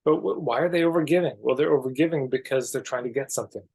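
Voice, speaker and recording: A man speaking as a podcast guest, explaining ideas reflectively in an emphatic but measured conversational way. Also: medium pitch, gravelly, a little mellow, slightly rough.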